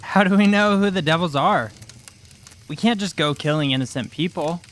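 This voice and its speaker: A man's voice: fairly high pitch, normal speed, fairly bright tone, a little hiss or hum, lightly acted. A man playing a scared, doubtful boy who speaks hesitantly in an audiobook drama. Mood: anxious, doubtful. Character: scared, doubtful boy defending his father. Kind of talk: audiobook acting.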